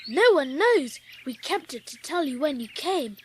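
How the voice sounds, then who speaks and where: A woman's voice: high voice, animated, a little slow, slight background noise. A woman playing an eager, proud, well-bred English boy in a dramatised audiobook. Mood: joyful, breathless.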